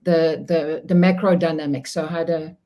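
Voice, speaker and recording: A woman from the Netherlands whose first language is Dutch speaks in a lowish voice. She is middle-aged and talks on a video call. She is reflective and chatty, laughs often and restarts her sentences. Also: fairly even intonation, normal speed, dark tone. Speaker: guest. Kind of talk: casual interview chat.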